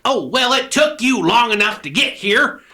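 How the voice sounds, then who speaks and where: A man playing a grumpy old miserly rancher in an Old West radio play, with a sharp, forceful, folksy delivery. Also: extremely high pitch, animated, moderate pace, heavily acted. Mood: harsh, scolding. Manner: crotchety, scolding, folksy.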